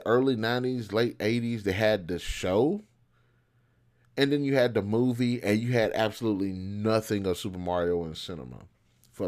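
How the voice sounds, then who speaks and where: Low-voiced man rambling casually. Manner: colloquial, rambling, thinking aloud. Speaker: video host and film commentator. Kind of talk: casual unscripted opinion commentary.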